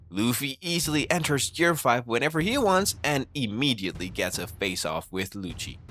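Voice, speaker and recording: Male narrator, scripted explainer read, lively swooping intonation, close mic, music behind. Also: on the high side. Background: background music.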